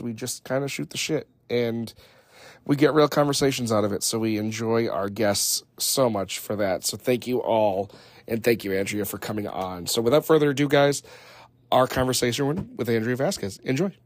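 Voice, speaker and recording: A man hosting a casual podcast, hoarse and raspy from a head cold, rambling loosely with lots of fillers and casual swearing. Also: medium pitch, moderate pace, no echo. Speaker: podcast host. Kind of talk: casual off-the-cuff podcast chat.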